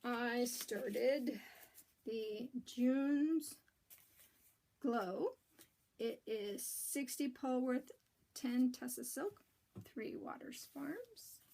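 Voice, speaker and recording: A woman with a raspy voice hosting a casual knitting and spinning show-and-tell, rambling with many ums and restarts. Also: a little high, expressive, extremely slow, pauses often, warm tone.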